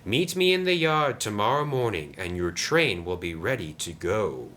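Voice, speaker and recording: A man's voice: on the low side, very animated, normal speed, fairly bright tone. Man as a bossy, scheming diesel engine. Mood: falsely friendly. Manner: curt, sly, dismissive.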